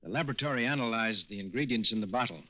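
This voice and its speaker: An actor playing a dry, measured investigator reporting findings, in 1940s radio drama style. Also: male voice, fairly low pitch, muffled recording, lightly acted. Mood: matter-of-fact. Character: matter-of-fact male investigator reporting lab findings. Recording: band-limited 1940s radio recording.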